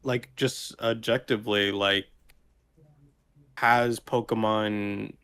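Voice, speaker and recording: A man with a lowish, very creaky voice chats casually as a podcast co-host. He is hesitant and halting, searching for his words as he goes. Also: level tone, a bit bright.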